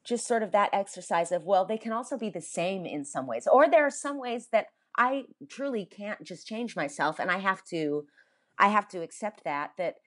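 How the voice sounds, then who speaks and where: Actress with a young-sounding voice. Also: female voice, sing-song, moderate pace. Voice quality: higher pitched, young sounding voice. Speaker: stage actor. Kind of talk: interview, relaxed reflective answers.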